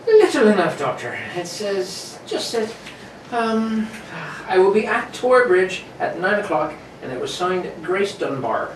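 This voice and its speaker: A plain-spoken police sergeant, acted by a woman, folksy and deferential with a suspicious streak. The voice sits at a middling pitch but moves around a lot. It is radio-mystery acting in an echoey room. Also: big pitch swings, a little slow. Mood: matter-of-fact, deferential. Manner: colloquial, matter-of-fact, folksy. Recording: roomy, distant, faintly noisy recording.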